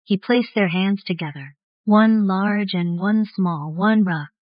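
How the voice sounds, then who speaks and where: A woman's voice: mid-range voice, slow, muffled recording, a little hiss or hum. A woman narrating a scripted story in the style of an audiobook. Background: faint music.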